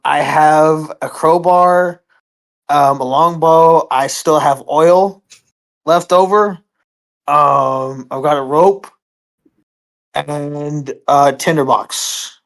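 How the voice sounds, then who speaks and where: A woman chatting casually as a player in a tabletop game, hesitantly thinking aloud. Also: deep voice. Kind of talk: casual chat.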